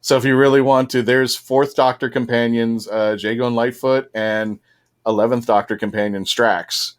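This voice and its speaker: A man's voice: mid-range voice, steady tone, normal speed. A man speaking as a panelist in casual, informal fan chat.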